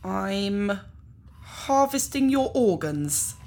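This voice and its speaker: A woman's voice: mid-range voice, lively intonation, drawn-out words, darkish tone. A woman playing a casual, apologetic organ harvester in a science fiction audio drama, talking in an awkward, nonchalant way. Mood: matter-of-fact, apologetic. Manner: nonchalant, hesitant, politely awkward.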